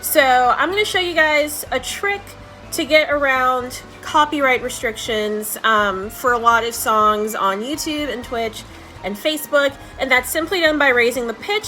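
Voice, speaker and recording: High-pitched, bright woman giving a casual tutorial. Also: low-quality recording. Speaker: host of a video editing tutorial.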